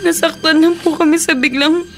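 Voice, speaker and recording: A woman's voice: high-pitched, steady tone, fairly quick, faint music behind. A woman acting a hurt, apologetic young daughter in a radio drama. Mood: tearful, sorry.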